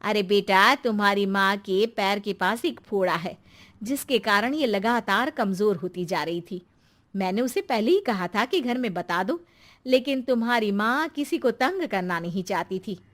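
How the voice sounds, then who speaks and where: A woman's voice: medium pitch, expressive, measured pace, fairly bright tone. A woman voicing a concerned, practical female neighbour in a cartoon story, urging and advising. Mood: reproachful.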